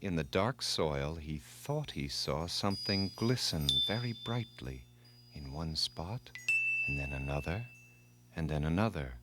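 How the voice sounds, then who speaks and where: A man narrating a scripted myth for children in a formal, measured, dramatic storytelling manner. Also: rumbling low, lively intonation, heavy background noise.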